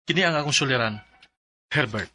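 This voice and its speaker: Forceful, aggrieved young husband. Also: male voice, mid-range voice, a little fast, no room sound, clean studio sound. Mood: aggrieved, earnest. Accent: Cebuano Filipino. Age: young adult. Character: wronged, bitter husband aged thirty-one seeking annulment. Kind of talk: Filipino radio drama acting and letter narration.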